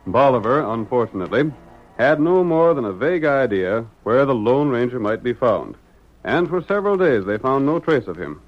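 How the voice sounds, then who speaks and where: A man's voice: medium pitch, big pitch swings, moderate pace, lightly acted. A man narrating a Western radio drama in a dramatic, scripted old-time style. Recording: dull vintage radio recording with music.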